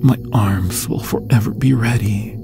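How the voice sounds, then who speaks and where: Obsessive undead lover male actor, low and unhurried, solemn archaic delivery, tender menace, for fantasy roleplay audio. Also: gravelly, over music. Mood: angry, vowing return. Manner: theatrical, slow, archaic solemn phrasing. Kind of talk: ASMR fantasy roleplay acting.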